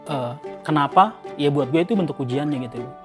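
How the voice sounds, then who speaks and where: A man's voice: a little high, fairly quick. An Indonesian man giving a casual, colloquial street-interview style answer. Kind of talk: casual vox pop answer. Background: faint music.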